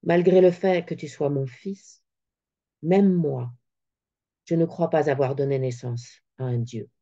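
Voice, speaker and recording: A woman reading a novel as a firm, tender mother addressing her son, deliberately, in a dull, muffled online recording. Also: sits low, dark tone. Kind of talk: literary reading.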